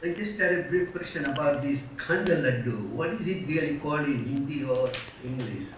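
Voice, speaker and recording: A man's voice: fairly high pitch, animated, moderate pace, a bit bright. A man speaking casually as a student in a class, asking briefly. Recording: echoey room, faint background noise.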